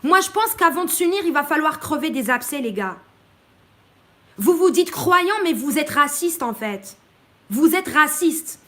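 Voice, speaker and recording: A woman's voice: sits high, expressive, unhurried, fairly bright tone. A woman from Morocco speaking bluntly in a live-stream monologue, greeting viewers as she goes and often hesitating mid-sentence. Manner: outspoken and blunt, with many hesitations.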